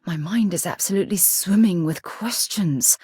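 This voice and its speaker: A low-pitched woman as a principled space explorer in a sci-fi game. She is composed and articulate, earnest with a wry edge. The recording is dry and close. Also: normal speed, warm tone, husky, no room sound. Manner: earnest, thoughtful, dryly wry. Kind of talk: video game voice acting.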